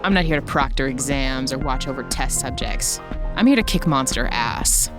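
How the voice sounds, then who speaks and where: An actress playing a tough, determined action heroine in an audio drama, with a gritty, blunt and defiant manner. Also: female voice, a bass voice, animated, normal speed, music in the background.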